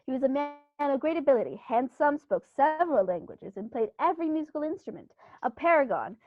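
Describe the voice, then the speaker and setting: Woman as genteel country squire, high-pitched. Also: expressive, fairly quick, no room sound. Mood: confiding. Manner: theatrical, genteel, emphatic. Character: cordial, indignant upper-class English country squire, male. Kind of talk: 1930s-style radio play acting. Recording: dull, muffled recording.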